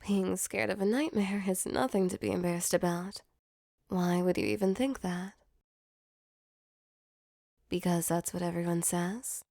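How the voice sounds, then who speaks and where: A woman voicing a female sleep paralysis demon in an intimate ASMR roleplay, with a soft, close delivery. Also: fairly low pitch, steady tone, a little slow, gravelly, long pauses, no echo. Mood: gentle, earnest. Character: female sleep paralysis demon, mock-menacing turned tender and flustered.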